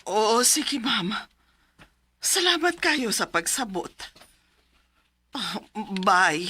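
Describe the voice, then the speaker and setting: A woman's voice: fairly high pitch, sing-song, drawn-out words, sharp tone, slightly rough, full character voice. A woman acting a middle-aged, anxious and pleading mother in a radio drama. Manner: pleading, worried.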